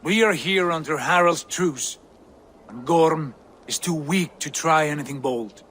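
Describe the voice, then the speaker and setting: A man's voice: fairly high pitch, a little slow. An actor playing a commanding male Viking chieftain in a video game. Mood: calm. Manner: commanding, boastful, then calm and brotherly. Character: bold male Viking chieftain, proud brother. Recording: game audio with loud ambient noise.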